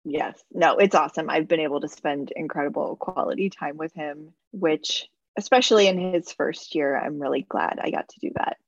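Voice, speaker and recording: A woman in her thirties with a lowish voice, talking as a guest in a casual interview. She speaks in measured, reflective phrases and laughs easily. Her audio sounds slightly dull and dry, like a remote call. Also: no echo. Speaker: guest, travel business owner.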